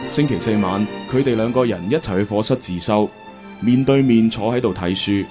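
A man with a Cantonese accent, hosting and narrating a radio drama in an expressive, conversational way. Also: fairly low pitch, moderate pace. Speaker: radio host and storyteller. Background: music.

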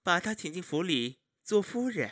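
Man acting a wry, lively, higher-voiced male confidant. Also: normal speed, full character voice. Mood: wry teasing. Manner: wry, articulate, needling. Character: teasing, sharp-tongued male confidant of an imperial official. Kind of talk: Chinese costume audio drama acting.

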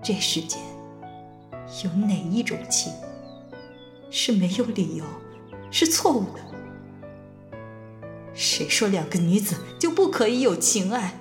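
Regretful dying woman, unhurried and grieving monologue, drenched in echo over music. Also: mid-range voice, sing-song. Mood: regretful, sorrowful. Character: dying woman full of regret for lost love. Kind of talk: slow dramatic letter monologue. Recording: echoey long reverb under music.

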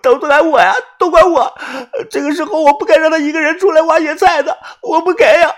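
A man's voice: very high-pitched, brisk, voice pushed up. A man voicing a distraught young man in an audiobook, wailing and pleading in anguish. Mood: tearful self-blame.

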